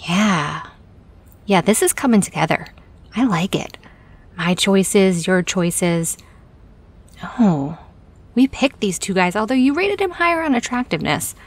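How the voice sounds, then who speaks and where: A frank female boss in an audio drama. Her medium-pitched voice is casual and candid, with dry, wry humour running through conversational lines. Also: lively intonation, a little slow, long pauses. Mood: decisive.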